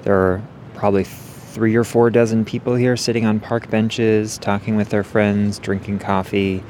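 A man's voice: lowish voice, level tone, moderate pace, a little mellow, a little hiss or hum. A male reporter narrating a scripted radio feature, partly recorded outdoors in a city park.

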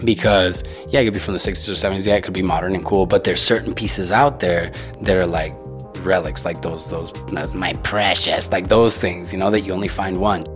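A man's voice: low-pitched, fairly even intonation, fairly quick. A young man from the Tijuana area, a native Spanish speaker, telling his story in a casual, laid-back way, calling people dude and bro. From Mexico, Tijuana area. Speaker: interviewee. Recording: music playing underneath.

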